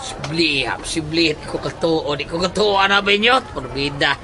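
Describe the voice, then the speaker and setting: A man with a Cebuano accent playing an excitable, superstitious village friend in a horror audio drama. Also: a little high, normal speed, noisy background, lightly acted. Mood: hushed disbelief.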